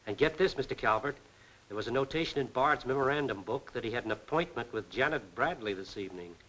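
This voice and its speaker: Male actor as insinuating doctor, medium pitch, self-important, eager tipster, crackly-era 1940s film with faint noise. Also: lively intonation, fast talker, a bit bright, natural voice. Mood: eager, conspiratorial. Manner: knowing, insinuating. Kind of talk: 1940s crime film acting. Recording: noisy old 1940s film soundtrack.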